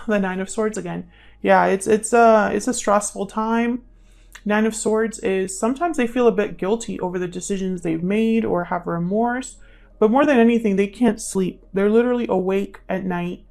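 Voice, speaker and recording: A woman hosts a casual tarot reading in a medium-pitched voice with a good deal of creak. She speaks in an intuitive, musing way, as if thinking aloud, and can drift toward a murmur. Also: normal speed, a little mellow. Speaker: tarot reader, channel host.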